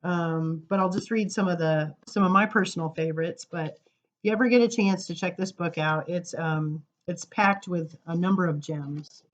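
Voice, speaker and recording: A woman reading children's poems aloud at story time, informal, with dramatic emphasis and drawn-out words. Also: fairly low pitch. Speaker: library story time reader.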